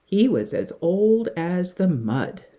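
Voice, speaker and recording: A woman reads a children's story aloud in a low, mellow voice with a husky edge. She takes her time and swings widely in pitch, dropping to a hush for suspense and leaning hard on key words. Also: very animated, slow. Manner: dramatic, with hushed passages and emphatic words. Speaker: teacher reading a picture book to young children.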